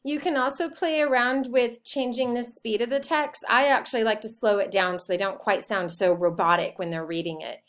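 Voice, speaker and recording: Casting: woman teacher, slightly high voice, walking listeners through software step by step, informally. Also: fairly even intonation, moderate pace, hardly any pauses. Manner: explanatory, step-by-step. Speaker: teacher giving a software tutorial. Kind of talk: informal screencast tutorial.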